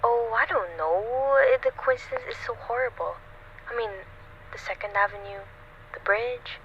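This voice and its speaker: A woman's voice: medium pitch, moderate pace, natural voice. A woman playing an anxious, demanding bedridden housewife in a radio suspense play, fretful, insistent and melodramatic. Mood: distressed. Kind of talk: old-time radio suspense play acting. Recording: muffled lo-fi recording with background noise.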